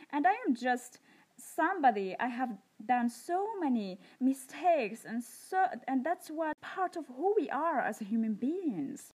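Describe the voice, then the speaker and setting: A woman's voice: a little high, a little mellow. A woman with a Moroccan accent hosting a self-improvement podcast, telling her personal story in an earnest, emphatic way.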